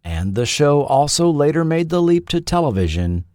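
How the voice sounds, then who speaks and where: A man narrating a scripted documentary in a deliberate, dramatic storytelling manner. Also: on the high side, big pitch swings, a little mellow, no echo, clean studio sound. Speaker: host and narrator.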